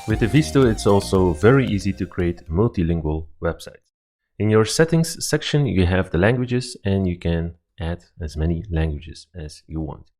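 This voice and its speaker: A man whose first language is Dutch, talking through a software platform step by step in a screen-recorded tutorial, with slightly non-native phrasing. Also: low voice, expressive, moderate pace, faint music behind.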